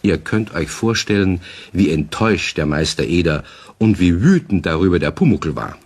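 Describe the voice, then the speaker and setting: A man playing the narrator of a children's radio play, telling the story directly to young listeners in a husky voice. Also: deep voice, lively intonation, normal speed. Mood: animated, wry. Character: male storyteller addressing children.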